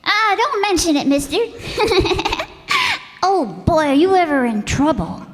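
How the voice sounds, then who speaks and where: A pesky little neighborhood girl speaks high and swoopy in a reverberant hall. She is precocious and teasing, in the broad childlike manner of old-time radio comedy. She takes her time over each phrase. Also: big pitch swings, slow, exaggerated voice. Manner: childish, teasing, repeating a cute catchphrase. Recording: echoey hall stage recording.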